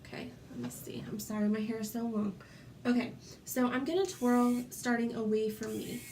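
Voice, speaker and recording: A woman's voice: fairly high pitch, normal speed, gravelly, darkish tone, slight background noise. Congested woman chatting through a tutorial. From Florida. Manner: chatty, many ums, demonstrating while talking. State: congested with a cold. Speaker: hair tutorial host. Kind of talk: casual tutorial chat.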